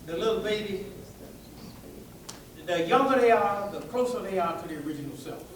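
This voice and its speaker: A man preaching an animated, folksy sermon to listeners, tossing out rhetorical questions and colloquial asides. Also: high-pitched, normal speed, a little hiss or hum. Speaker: preacher giving a public religious address. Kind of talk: animated sermon with call-and-response to a live audience. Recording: echoey hall, distant microphone.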